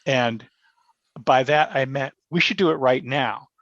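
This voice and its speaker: A man telling stories casually as an interview guest, animated and self-effacing. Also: mid-range voice, measured pace. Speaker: guest, radio theatre director. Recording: dull, muffled lo-fi roomy sound.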